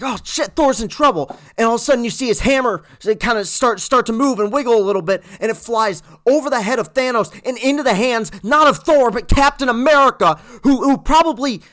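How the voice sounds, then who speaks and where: A man reviewing a film in a casual, excited, rambling storytelling way, swearing freely as he goes. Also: high-pitched. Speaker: movie reviewer.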